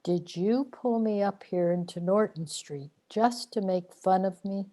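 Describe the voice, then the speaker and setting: A woman's voice: medium pitch, measured pace, mellow, lightly acted. A woman playing a weary, complaining soul trapped in limbo in a sci-fi radio drama, with a plaintive delivery. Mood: pleading.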